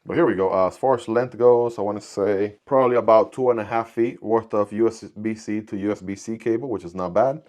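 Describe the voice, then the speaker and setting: A man whose first language is Spanish, casually talking through an unboxing and product review with joking asides. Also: on the low side. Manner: relaxed, chatty, jokey asides with quick sound-effect words.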